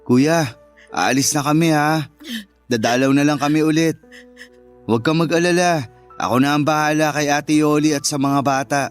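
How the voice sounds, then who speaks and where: An actor playing a steadfast, consoling young bachelor in a Filipino radio love-story drama. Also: male voice, fairly high pitch, lightly acted. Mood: gentle, consoling. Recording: music bed under the voice.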